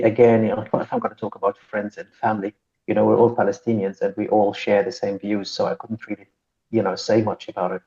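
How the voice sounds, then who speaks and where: Low, dark-voiced man. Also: dull audio. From Syria. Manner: slow, thoughtful, full of fillers and tag questions. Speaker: podcast co-host. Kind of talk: casual panel discussion.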